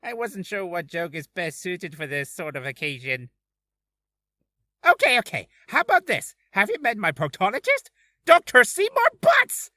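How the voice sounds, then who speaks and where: A man's voice: high voice, wildly swooping pitch, normal speed, sharp tone, no room sound, full character voice. A man playing an awkward, theatrical clown comedian in a video game. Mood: eager, silly. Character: nervous joke-telling male clown comedian. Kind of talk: video game character acting.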